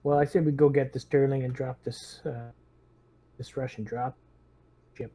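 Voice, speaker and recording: A man's voice: mid-range voice, steady tone, normal speed, warm tone. A man playing a tabletop game, chatting casually and thinking aloud in a tentative way. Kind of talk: casual chat.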